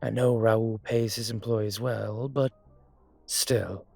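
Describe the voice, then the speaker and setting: A man playing a brooding, courteous and vengeful dragon mafia boss in an intimate audio roleplay, speaking in a measured, hesitant way with a husky voice. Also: lowish voice, fairly even intonation, a little mellow, dry recording, soft background music, heavily acted. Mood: relaxed, courteous. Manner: measured, hesitant, pausing often. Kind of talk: audio roleplay drama, intimate dinner conversation.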